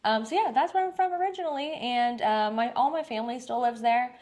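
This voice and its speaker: A woman's voice: on the high side, fairly quick, a little mellow. A woman in her thirties from Texas, a salon owner answering interview questions in a chatty, upbeat way with plenty of fillers. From Dallas-Fort Worth, Texas. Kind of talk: casual interview.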